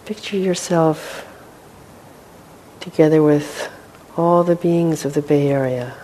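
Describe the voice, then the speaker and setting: Slow, mellow woman guiding meditation. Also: low-quality recording. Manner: gentle, unhurried, with long pauses. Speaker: meditation teacher. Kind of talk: guided meditation. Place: meditation hall with a group. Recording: faint rumbling background noise.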